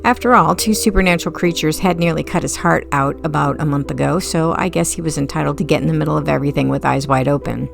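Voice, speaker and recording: Low-pitched, creaky-voiced woman narrating. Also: quick pace, few pauses, over music. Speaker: audiobook narrator. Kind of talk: scripted first-person fiction narration.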